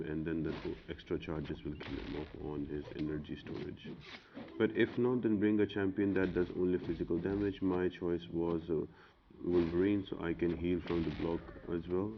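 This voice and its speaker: A man giving casual, matter-of-fact video game walkthrough commentary in an instructional way. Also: deep voice. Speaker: video game guide host. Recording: muffled audio with clear background noise.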